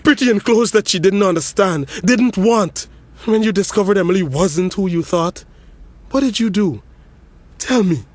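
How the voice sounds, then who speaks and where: Guy acting as a grieving brother at a funeral, accusing, kinda high voice, goes hushed then yells. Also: moderate pace, dry recording. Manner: intense, accusing, swings from hushed to shouting. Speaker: eulogy speaker at a funeral. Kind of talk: dramatic audio story monologue.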